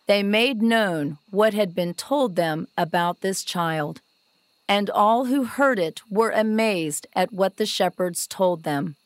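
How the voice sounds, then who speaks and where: A woman narrating a scripted Bible story in a measured, reverent storytelling delivery. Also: medium pitch, no room sound.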